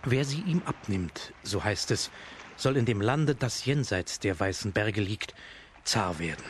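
An actor playing a sad, weary old ferryman in a children's radio play, speaking in a measured, grave way. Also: fairly low pitch. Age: older. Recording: clear background noise, ambience.

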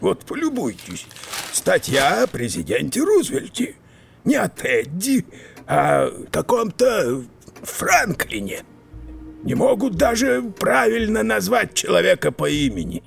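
An actor playing a grumpy, stubborn old uncle in an audio drama, grumbling querulously in a gravelly old voice. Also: male voice, high-pitched, huge pitch swings, unhurried, a bit bright, faint music behind, exaggerated voice. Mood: grumbling, indignant.

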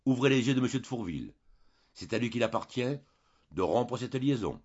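A man's voice: on the low side, big pitch swings, moderate pace, darkish tone, breathy edge, highs cut off. Man acting a wrathful, commanding priest. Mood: stern, scornful. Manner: vehement, moralizing, commanding, rhetorical. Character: stern, fanatical priest, wrathful and accusing.

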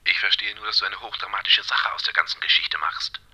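A man's voice: on the low side, steady tone, fairly quick, pushed and bright, breathy edge, exaggerated voice. An actor playing an irritable, dismissive man in a radio drama, grumbling brusquely through a telephone effect. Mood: grudging. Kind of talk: German radio play acting.

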